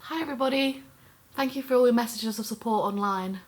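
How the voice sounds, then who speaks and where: A woman's voice: on the high side, fast talker, close to an everyday voice. An actress playing a young woman co-host, a bit shaken after an attack yet upbeat.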